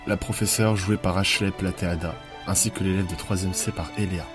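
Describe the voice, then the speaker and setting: Homme à la voix grave qui lit le générique. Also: male voice, low-pitched, steady tone, brisk, soft background music. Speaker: credits reader. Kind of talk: scripted credits narration.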